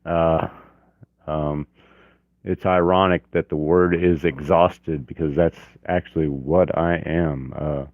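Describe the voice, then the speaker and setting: A man hosting his art channel in a relaxed livestream chat from his home office, hoarse from a cold and talking haltingly with many fillers. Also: extremely low pitch, phone-quality sound. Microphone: external mic on camera.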